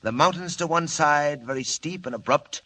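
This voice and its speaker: A villainous mastermind from a golden-age radio serial. His voice is bright and hard-edged, and he gives orders with cold, formal, measured menace to his underlings. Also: a little high. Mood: commanding. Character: sinister, coldly commanding gang boss villain in the shadows. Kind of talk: 1940s radio drama acting. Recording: old radio drama recording.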